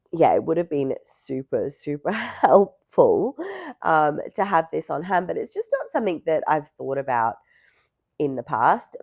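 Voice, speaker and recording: A young woman vlogging casually to camera, hoarse from illness and rambling with many fillers. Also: extremely low pitch, a little mellow, no echo, studio quality.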